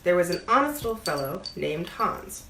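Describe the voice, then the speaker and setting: A woman's voice: on the low side, sing-song, normal speed, sharp tone, distant mic, full character voice. A woman voicing a small, earnest, inquisitive green linnet in a storybook, with a questioning, storytelling manner. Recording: roomy echo, audible background noise.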